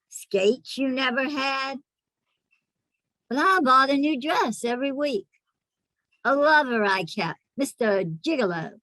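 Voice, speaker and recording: A woman's voice: on the high side, fairly bright tone, dry recording. A woman playing a hard-working, domineering family matriarch in a theatrical monologue, scolding and justifying herself emphatically. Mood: bitter reproach.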